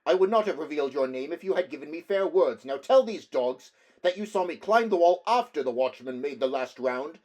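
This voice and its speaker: A man's voice: a little high, animated, a little fast, few pauses, darkish tone, pitched above the performer's own voice. A man voicing a fierce barbarian thief who talks in blunt, snarling accusations.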